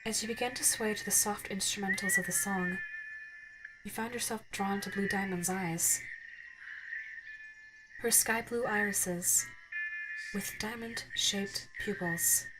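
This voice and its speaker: Woman narrating softly over clear music. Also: mid-range voice, steady tone, unhurried, pauses often, poor audio. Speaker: narrator and voice actress. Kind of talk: scripted second-person ASMR story narration. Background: instrumental music.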